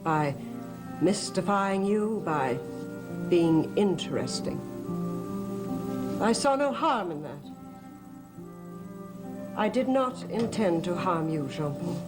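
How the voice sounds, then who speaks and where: An actress playing a mysterious, guilt-torn woman in a gothic soap opera, speaking in a theatrical, halting, confessional way. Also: fairly low pitch, expressive, over music. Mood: anguished, confessional.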